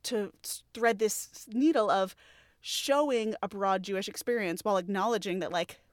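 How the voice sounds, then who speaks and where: A woman's voice: medium pitch, normal speed, no room sound. Chatty new york woman, podcast co-host, laughs a lot, super dry close sound. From New York, United States. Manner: chatty, self-deprecating, laughs often. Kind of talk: casual interview conversation.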